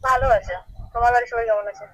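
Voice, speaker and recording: A woman's voice: on the high side, very fast, slight background noise. A young woman answering a phone call in casual conversation. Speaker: prank call recipient.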